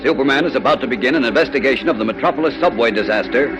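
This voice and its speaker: A man's voice: medium pitch, racing, natural voice. A man acting as the grand, urgent announcer of a 1940s superhero radio serial, with emphatic delivery. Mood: dramatic. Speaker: announcer and narrator. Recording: old radio recording, music behind.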